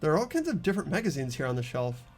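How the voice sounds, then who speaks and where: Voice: mid-range voice, big pitch swings, racing, a little mellow, faint music behind. A playthrough host chatting casually with friends and reading game text aloud.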